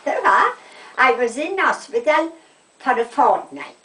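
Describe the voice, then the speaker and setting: A woman's voice: a little high, sing-song, slow pace, sharp tone. A woman in her eighties with a South Wales Valleys accent, chatting and telling anecdotes emphatically, acting out what people said. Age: 80s. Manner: chatty, emphatic, repeats reported conversations with "I said". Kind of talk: casual chat, anecdotal storytelling. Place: indoors at a home. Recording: roomy, distant home recording.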